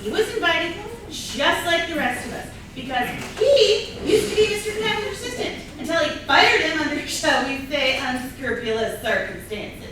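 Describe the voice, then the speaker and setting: Woman doing scheming ex-wife fake doctor, very high bright voice, stagey n mocking, echoey room. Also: very animated, normal speed, few pauses. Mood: gloating. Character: scorned ex-wife posing as a doctor, gloating and indignant. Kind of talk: comedy staged reading. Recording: distant mic, echoey room, faint noise.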